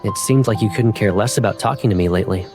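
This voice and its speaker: Lady doing a really deep young guy love interest, intimate and teasing, music playing behind. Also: fast. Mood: gentle, teasing. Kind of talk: romance game fan dub dialogue.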